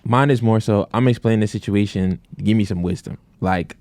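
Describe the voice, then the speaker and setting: A man in casual podcast chat, relaxed, saying "like" often. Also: lowish voice, fairly quick, no room sound. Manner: relaxed, says "like" often, many "mm-hmm"s. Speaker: podcast host.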